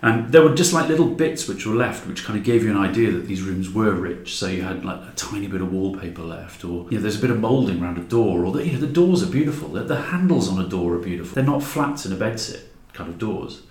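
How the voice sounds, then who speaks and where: A man's voice: lowish voice, lively intonation, fast, few pauses, darkish tone. A man who makes audio dramas, chatting casually and anecdotally as a podcast guest. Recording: echoey room.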